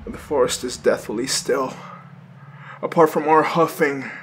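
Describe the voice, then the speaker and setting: An actor playing a sardonic, anxious, foul-mouthed young male survivor who narrates in first person for an audio drama, often halting and stammering. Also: mid-range voice, fairly bright tone, roomy sound, a little hiss or hum, close to an everyday voice. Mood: grim, weary narration.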